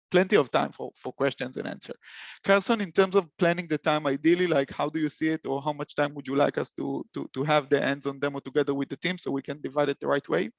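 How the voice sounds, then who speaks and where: A young man with an Israeli accent presenting a webinar, halting speech full of fillers and restarts. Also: on the high side, creaky, no room sound. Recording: dull lo-fi call audio.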